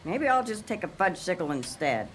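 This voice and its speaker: A woman's voice: lowish voice, sing-song, brisk, a little hiss or hum, rough recording. A woman playing a cheeky, fickle fifth-grade kid in a radio play, blunt and pestering with childish grammar. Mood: picky.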